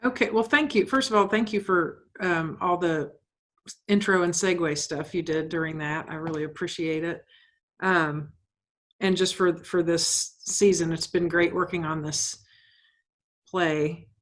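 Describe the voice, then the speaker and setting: A woman's voice: lowish voice, steady tone, unhurried, a bit bright. A woman playwright explaining her project informally, often rephrasing as she asks for feedback.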